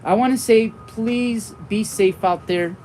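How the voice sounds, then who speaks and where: A man's voice: sits high, slow pace, slight background noise. A man in his forties with a young-sounding voice, chatting casually and rambling on tangents during a live board game stream. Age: 40s. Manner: warm and friendly toward viewers.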